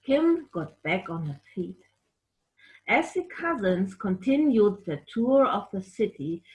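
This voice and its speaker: A woman telling a children's story in an animated, explanatory way, with playful asides to a puppet. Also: mid-range voice, poor audio. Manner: animated, explanatory, makes playful sound effects.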